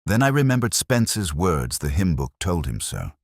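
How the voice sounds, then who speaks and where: A man reading a scripted first-person detective story in a measured, brooding, literary manner. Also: low voice, very animated, no room sound, clean studio sound. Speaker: narrator.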